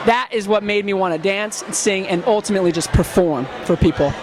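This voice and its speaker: A male pop singer from Texas answering interview questions in a playful, animated way. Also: sits high, brisk, crowd noise, background noise. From Texas, USA.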